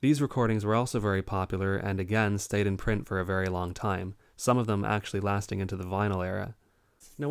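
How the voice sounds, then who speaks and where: A man presenting as a collector in an informative explainer style, measured and articulate. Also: lowish voice. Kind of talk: informative explainer to camera or over shown records.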